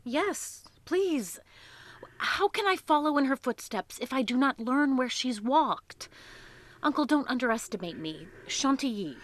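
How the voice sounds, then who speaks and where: An eager young niece in a radio play. Her high voice is earnest and insistent, full of determination. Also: expressive, unhurried. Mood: eager insistence. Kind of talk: live radio drama acting.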